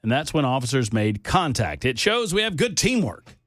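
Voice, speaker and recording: A man's voice: medium pitch, sing-song, normal speed, a bit bright, dry recording, clean studio sound. A man hosting a talk radio show, reading silly news stories and making mocking asides.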